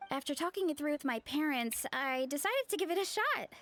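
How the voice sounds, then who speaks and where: High-voiced, cheerful young fruit merchant. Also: female voice, animated, normal speed, a bit bright. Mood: cheerful explaining. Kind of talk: animated video game voice acting.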